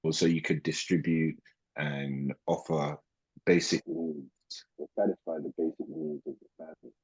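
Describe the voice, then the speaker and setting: A man's voice: extremely low pitch, little pitch movement, normal speed, darkish tone, slightly rough, no echo. A man student adding thoughtful points to a class discussion, hesitant with fillers. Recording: muffled phone-like line.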